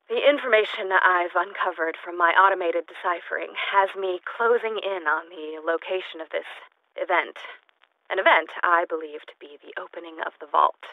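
A woman's voice: medium pitch, no echo, phone-quality sound, close to an everyday voice. An actress playing an eccentric, lonely woman scientist in a video game, recording audio logs in precise, formal diction with erratic emotional swings. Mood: sleepless, unhinged.

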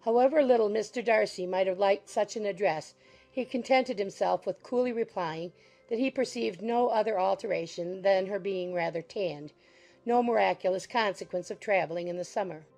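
A woman narrating a dramatized audiobook of a classic novel in a measured, formal storytelling manner. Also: medium pitch, darkish tone, faint music behind, rough recording.

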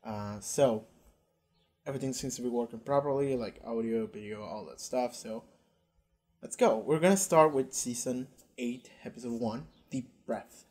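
A man's voice: medium pitch, moderate pace, a little mellow. A young man from Argentina with an Argentinian accent, rambling casually and swearing as he reacts to a show, recorded on a headset in a small bedroom. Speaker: YouTube reactor.

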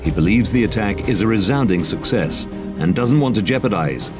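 A man narrating a documentary from a script in a dramatic, measured manner. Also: lowish voice, lively intonation. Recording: loud music under the voice. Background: dramatic score.

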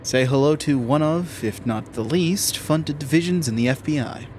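A man's voice: fairly high pitch, moderate pace, a little mellow, a little hiss or hum, close to an everyday voice. A man playing a guarded government agent in a horror audio drama, dry, clipped and matter-of-fact. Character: guarded, dry federal agent of an obscure underfunded division.

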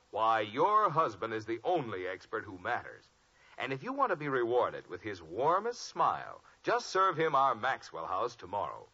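A man's voice: mid-range voice, pushed and bright, breathy edge. An American man announcing a 1950s radio commercial in an emphatic, persuasive style. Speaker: announcer reading sponsor advertisement. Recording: dull vintage radio recording.

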